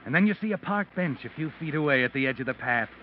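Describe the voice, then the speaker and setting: An actor playing a knowing, taunting narrator in an old-time radio mystery, speaking deliberately. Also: male voice, medium pitch, animated, slight background noise, natural voice. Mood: urgent. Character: sardonic omniscient narrator addressing the murderer. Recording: muffled old radio recording with music.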